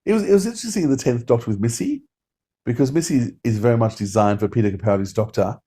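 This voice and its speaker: A man's voice: medium pitch, fast talker, dark tone, husky. A man hosting a podcast, talking casually through a review. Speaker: podcast host. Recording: music playing behind.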